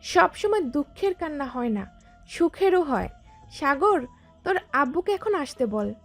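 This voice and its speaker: A woman's voice: high-pitched, lively intonation, measured pace. A woman playing a caring but firm mother in an audio story, coaxing and instructing. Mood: soothing. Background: background music.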